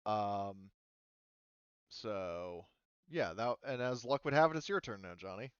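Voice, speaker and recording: Guy game mastering online, casual, smooth clean voice, dry slightly muffled mic. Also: lowish voice, unhurried, a bit bright, no echo. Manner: informal, swears. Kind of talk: casual tabletop game moderating over online session.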